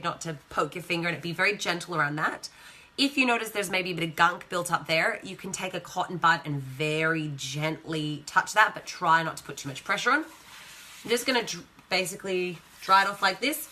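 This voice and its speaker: A woman with a croaky, partly lost voice, chatting casually as she hosts a live how-to demonstration and greets viewers. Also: on the low side, bright, forceful tone. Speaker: essential oil educator hosting a live video.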